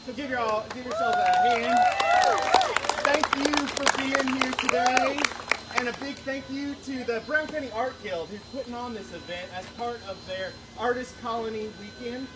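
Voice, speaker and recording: A high-pitched man plays host and storyteller at a live show. He talks in a folksy, down-home manner with colloquial country grammar. The microphone is some distance away, and there is clear background noise. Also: sing-song, normal speed, over a noisy crowd. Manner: folksy, colloquial rural grammar and drawl phrasing. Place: live show at an art guild event. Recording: distant mic, noisy live event ambience.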